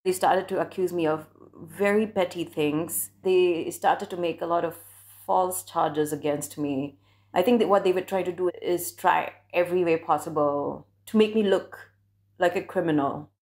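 Low, gravelly-voiced woman recounting an ordeal. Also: a little mellow. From India. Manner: reflective, measured, with restarts. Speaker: interviewee, doctoral scholar. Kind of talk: recounting a personal experience.

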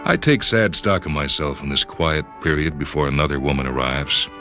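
A man reading a first-person story dramatically, in a brooding, measured manner. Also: a bass voice, animated. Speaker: story reader. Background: background music.

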